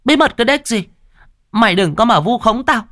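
Male storyteller as a screeching stepmother, very high, dry close sound. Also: expressive, moderate pace, fairly bright tone, no room sound. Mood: wailing. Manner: screeching, wailing, scolding. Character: shrill scheming stepmother, middle-aged woman.

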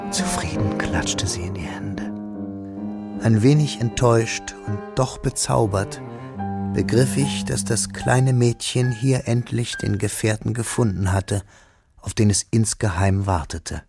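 An actor playing a wistful, pensive young man who narrates his memories in a measured, reflective way for a literary radio drama. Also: lowish voice, gravelly, loud music behind the voice. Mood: bittersweet.

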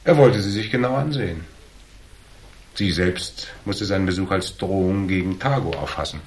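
A man acting in a German crime radio drama as a cool, shrewd investigator who lays out his deductions in a measured, dry, needling way. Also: deep voice, darkish tone, a little hiss or hum. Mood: needling, explanatory. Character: cool, shrewd hardboiled male investigator, calmly laying out deductions.

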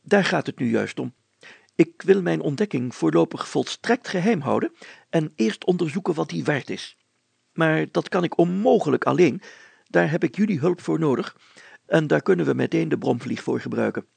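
A man voicing an excitable, secretive village mayor in an audiobook, telling things emphatically and deliberately in a creaky voice. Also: a little high, no echo, full character voice. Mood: emphatic, secretive. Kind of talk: acted audiobook dialogue.

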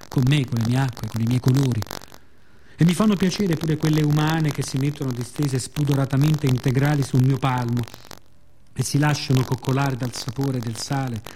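Guy acting as the sea in a radio play, soft dark voice, brooding n bitter but tender, repeats words for drama, noisy ambience behind. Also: mid-range voice, natural voice. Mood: scornful. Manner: brooding, theatrical, with deliberate repetitions. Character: the male sea, weary, bitter yet tender narrator. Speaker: actor performing own monologue. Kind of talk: dramatic radio theatre monologue. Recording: clear background noise and ambience.

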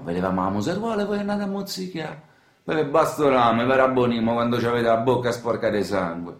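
A man's voice: on the low side, vocal fry. An actor playing a cocky, menacing young man from Rome's outskirts in a radio drama, with a Roman accent and a slangy, hectoring delivery. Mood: cold menace. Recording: roomy echo around the voice.

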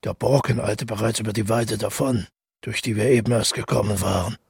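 A man playing a detached killer who calmly recounts his deed in a measured, reflective radio drama monologue, with a gravelly voice. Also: fairly low pitch, no room sound, clean studio sound, exaggerated voice. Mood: haunted. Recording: music playing under the voice.

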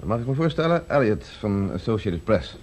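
An actor playing a curious, politely doubtful reporter in a 1970s radio play. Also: male voice, fairly low pitch, big pitch swings, moderate pace, darkish tone. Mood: skeptical. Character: inquisitive male newspaper reporter.

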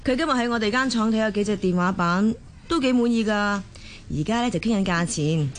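Actress playing an expressive Cantonese working mother. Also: medium pitch, brisk, slight background noise, lightly acted. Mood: chatty, weary. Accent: Hong Kong Cantonese. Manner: expressive, colloquial. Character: busy working mother, chatty, easily exasperated office woman. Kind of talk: Cantonese radio drama acting.

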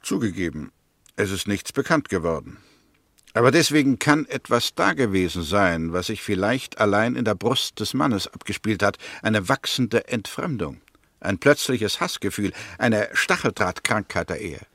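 A man acting in a 1960s German radio play as a probing, sceptical Englishman who sets out a murder case in a deliberate, precise way. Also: lowish voice, expressive, a bit bright, dry recording. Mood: sceptical, insistent.

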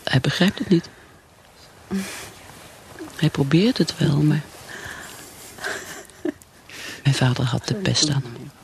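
Casting: troubled, secretly drinking woman lawyer, radio play, confiding and brooding, some fry, noisy clicky recording. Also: sits low, lively intonation, measured pace, heavily acted. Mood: bitter, reflective. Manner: brooding, confiding inner monologue alternating with dialogue. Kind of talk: radio drama acting.

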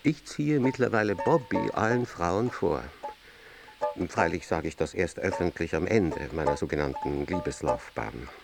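A man playing ironic aging bachelor, very low voice, animated melody, gravelly, literary monologue, loud music behind. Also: moderate pace. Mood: dry, sardonic. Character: weary, ironic, stingy aging bachelor devoted to a pet.

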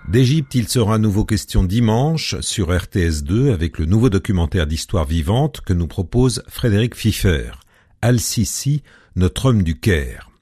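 A very low-pitched man, hosting a radio interview. His voice is dark and mellow with a husky edge, and his questions come out halting, full of pauses and restarts. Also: expressive. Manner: halting, many hesitations. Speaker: radio host.

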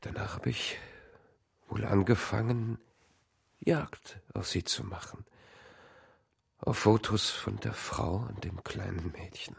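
An actor playing a lonely, broken man in a radio drama, halting and confessional, with a breathy, creaky voice. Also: fairly low pitch, full character voice. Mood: ashamed, confessing. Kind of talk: naturalistic German radio drama acting.

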